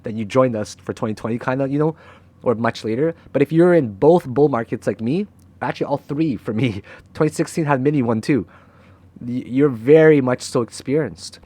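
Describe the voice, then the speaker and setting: Young male host, mid-pitch, casual monologue to camera; blunt, swears, checks in with "right?". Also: moderate pace, dark tone, no room sound. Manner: frank and emotional, swears freely, keeps asking "right?". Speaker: crypto trader and channel host. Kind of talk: candid motivational talk to camera.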